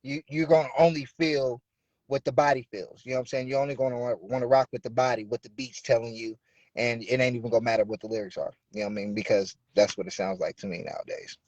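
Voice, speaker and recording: A man's voice: medium pitch, fairly even intonation, quick pace, darkish tone, no room sound, muffled recording. A man in his thirties from the Bay Area, a rapper guest talking casually and often saying "you know what I'm saying". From Bay Area, California. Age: 30s. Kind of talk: casual interview talk.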